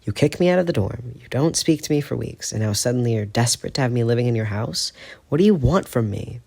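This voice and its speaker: A man's voice: medium pitch, animated, quick pace, gravelly, dark tone. A man voicing a defiant, guilt-ridden teenage boy who talks defensively and stammers when upset.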